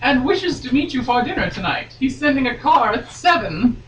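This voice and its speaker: A woman's voice: high voice, big pitch swings, normal speed, muffled recording, pitched above the performer's own voice. A woman voicing a formal, compassionate female lawyer who makes stagey royal proclamations. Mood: formal, measured. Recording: roomy, noisy, distant home microphone.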